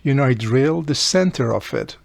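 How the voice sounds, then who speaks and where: A man casually hosting a hands-on repair tutorial, explaining step by step and repeating key points, with a gravelly, husky voice. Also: mid-range voice, lively intonation, moderate pace, no echo. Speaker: radio repair video host.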